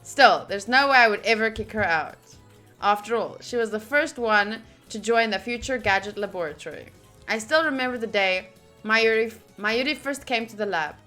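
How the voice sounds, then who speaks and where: A woman streamer reading a visual novel's narration aloud during a playthrough, with expressive, gushing asides. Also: a little high, normal speed, soft background music. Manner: expressive, gushing asides between read passages.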